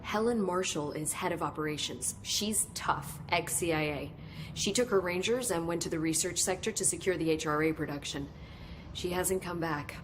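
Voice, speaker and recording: An eager, curious woman scientist played by an actress speaks with a slightly low voice. She talks earnestly, hurrying through some phrases and slowing on others. Clear ambient noise runs under the game scene. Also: natural voice.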